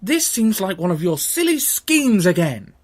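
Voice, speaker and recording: A man's voice: sing-song, unhurried, full character voice. A man voicing a scolding, unimpressed mother in a storybook tale, with a nagging tone. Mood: exasperated. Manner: nagging, high-voiced.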